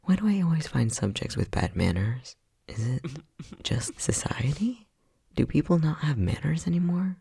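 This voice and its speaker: A man acting as an unhinged, playful and casually cruel scientist in an ASMR roleplay, flippant and sardonic, with a husky voice that often drops near a whisper. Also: on the high side, sing-song, moderate pace, mellow, full character voice. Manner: flippant, sardonic, mock-soothing, muttering.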